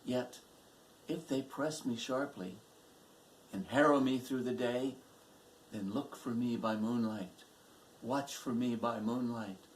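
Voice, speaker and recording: A man voicing a bold, romantic highwayman in a poetry recitation, declaiming theatrically to his sweetheart. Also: a little hiss or hum, lightly acted, rough recording.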